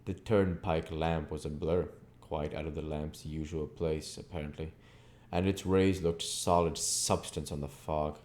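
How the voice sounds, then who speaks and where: A man with a very low, dark and mellow voice reads as an audiobook narrator. His delivery is measured and literary, with a soft-edged tone. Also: fairly even intonation. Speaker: audiobook reader, channel host. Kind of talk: scripted narration of a classic novel.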